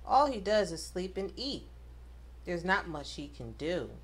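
A woman reading a rhyming picture book aloud to children, with expressive, dramatic emphasis. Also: fairly low pitch, unhurried, breathy edge. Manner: addresses children directly, dramatic emphasis on rhymes.

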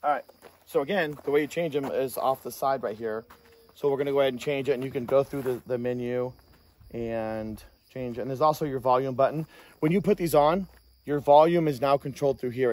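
A man hosting a casual hands-on gear review, talking in a halting way with self-corrections. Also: mid-range voice, a little mellow, no echo. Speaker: host of a gear review channel. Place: outdoor shooting range. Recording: distant mic, outdoor noise, insect chirps.

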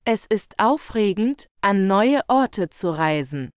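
A woman slowly reading German example sentences for learners, carefully enunciated and repeated. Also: mid-range voice, lively intonation, clean tone, dry recording, clean studio sound. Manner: carefully enunciated, each phrase read twice. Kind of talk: slow, clear model sentences for learners.

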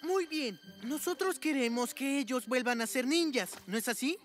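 A proud little villain boy speaks in a high voice. It is a Latin American Spanish cartoon dub, with loud music under the dialogue. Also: animated, moderate pace. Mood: reluctant, conciliatory. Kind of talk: animated cartoon dub acting.

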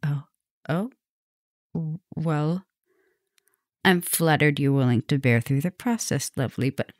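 A gentle, centuries-old female vampire professor, acted by a woman in an ASMR roleplay. Her voice sits a little low and is soft and intimate. She speaks tenderly and apologetically, with hesitant stammers, in a very dry recording with no room sound. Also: no echo.

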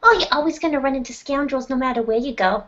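A woman's voice: high-pitched, fast, fairly bright tone, pitched above the performer's own voice. A woman putting on a sweet, overly polite young woman's voice for a comedy bit. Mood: sugary sweet. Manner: sugary, polite, girlish put-on voice. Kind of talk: comedic character interview. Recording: dull lo-fi sound.

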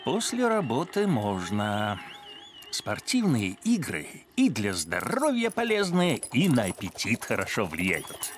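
A man voicing a good-natured, sensible middle-aged father in an animated cartoon, musing and mildly proud. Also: medium pitch, slow pace, noisy background.

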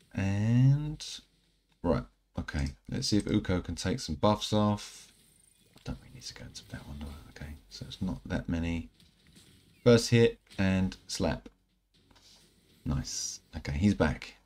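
A man in his thirties giving casual, rambling commentary over mobile game footage, a little croaky from a night out. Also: low voice. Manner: relaxed, rambling, self-correcting. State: slightly croaky from singing. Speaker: gaming channel host. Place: indoor room at home.

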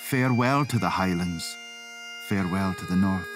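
A man's voice: sits low, normal speed, over music. A man giving a dramatic scripted narration as an audiobook narrator. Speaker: voice-over artist and audiobook narrator.

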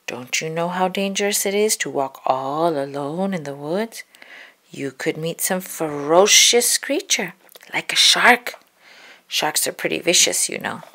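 A scheming storybook wolf, low and sly, all smug mock-politeness and sneers, as a woman reads him. The voice sits low with a gravelly edge. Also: expressive, a little slow, bright, forceful tone, exaggerated voice. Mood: smooth menace.

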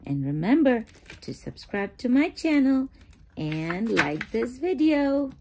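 A woman's voice: on the high side, big pitch swings, slow, noisy background. A woman reading a children's picture book aloud expressively, putting questions to the listener. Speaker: read-aloud channel host.

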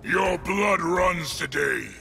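A furious alien warlord from a fighting game. He is gravelly and drags out grand threats, swelling wildly with bloodthirsty menace. Music plays behind him. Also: high-pitched, slow, pushed and bright, raised voice, full character voice. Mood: seething rage. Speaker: video game villain. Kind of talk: video game fight banter.

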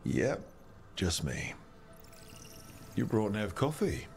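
A man's voice: lowish voice, sing-song, slow, gravelly, a little mellow, soft background music, heavily acted. A male actor playing a friendly, easygoing adventurer in a video game.

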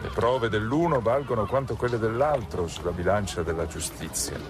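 A man's voice: fairly low pitch, normal speed, natural voice. An actor playing a courteous male public prosecutor in a radio drama, speaking expressively. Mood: troubled, confiding. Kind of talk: Italian radio drama acting.

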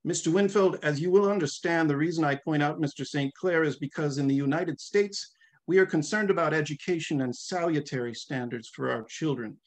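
A middle-aged man reads fiction aloud, voicing formal questions and answers. His voice sits a little high for a man and his delivery is measured. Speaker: author reading from a novel. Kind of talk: dramatic reading of a question-and-answer hearing. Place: small room at home, video call.

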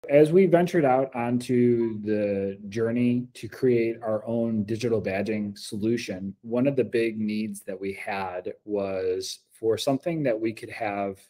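Man, forties, American accent, lowish pitch, soft dark tone, measured earnest interview answers. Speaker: school district program coordinator. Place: glass-walled office meeting room. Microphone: laptop video call.

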